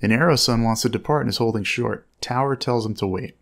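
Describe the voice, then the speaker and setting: A man giving measured, instructive commentary on air traffic audio as a narrator. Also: medium pitch, vocal fry, a bit bright.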